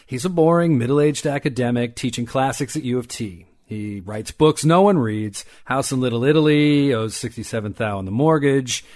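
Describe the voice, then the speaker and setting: A man's voice: medium pitch, normal speed, few pauses, darkish tone, natural voice. A man playing a blunt, casual private investigator reporting to a client in an audio drama. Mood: blunt, flip. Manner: flip, matter-of-fact.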